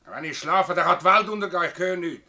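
A man's voice: sits high, quick pace, sharp tone, full character voice. A man playing a defensive, quarrelsome village farmer in a radio drama, blustering indignantly in a Swiss German accent. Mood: dismissive. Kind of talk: radio drama acting in dialect.

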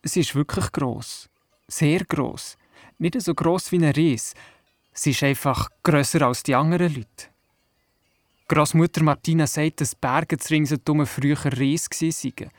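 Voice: mid-range voice, unhurried, dry recording, studio quality, lightly acted. A performer playing a curious, doubting young boy in a Swiss German radio play, asking questions and narrating. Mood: narrating fondly. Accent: Swiss German, Glarus area. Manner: inquisitive, skeptical.

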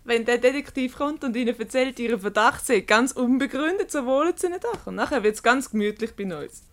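High-voiced, cheeky Swiss German wife. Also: female voice, lively intonation, brisk. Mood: brisk, persuasive. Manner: wry, breezy. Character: cheeky, self-assured married woman who schemes playfully. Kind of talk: Swiss German dialect radio comedy acting.

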